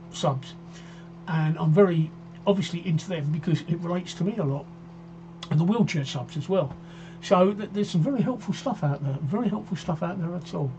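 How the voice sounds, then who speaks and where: A man in his sixties talks through a home live stream in a loose, rambling way, repeating phrases as he goes. His voice sits a touch high, and the audio is dull, with a clear electrical hum behind him. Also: a little mellow. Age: 60s. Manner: chatty, rambling, self-repeating. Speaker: livestream host. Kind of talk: casual live-stream chat reading viewer comments. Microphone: desk microphone.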